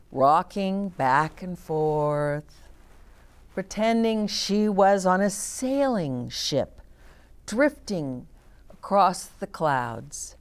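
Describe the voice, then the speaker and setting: A woman's voice: lowish voice, clean tone. A woman reading a children's storybook aloud as a story time host, with short explanatory asides to young listeners.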